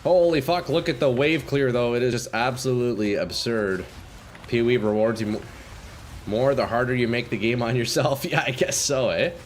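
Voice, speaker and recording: A young man doing casual live commentary while playing a game, a bit congested and clearing his throat often, swearing and talking to chat. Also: medium pitch, normal speed, a little hiss or hum. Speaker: game streamer.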